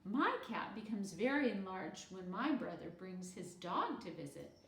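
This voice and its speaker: A woman's voice: medium pitch, sing-song, measured pace, reverberant, distant mic. Chatty, earnest woman schoolteacher, as a reader plays her. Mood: chatty, apologetic.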